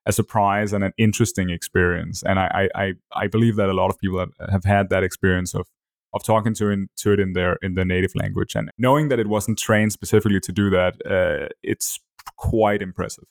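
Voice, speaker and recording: A man whose first language is Danish, hosting a podcast and asking measured questions with frequent word repeats. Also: low voice, steady tone, vocal fry, no room sound, studio quality. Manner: measured, repeats words while forming questions. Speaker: podcast host. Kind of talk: interview questions.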